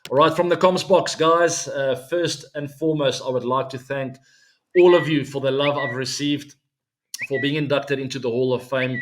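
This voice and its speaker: Man, South African accent, 40s, Afrikaans first language, solo cricket show host, relaxed chatty talk with many ums. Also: medium pitch, normal speed, slight background noise.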